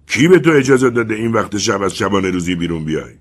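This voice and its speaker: A man's voice: medium pitch, expressive, breathy edge, pitched above the performer's own voice. A man playing a bitter, greedy middle-aged colonel who speaks harshly.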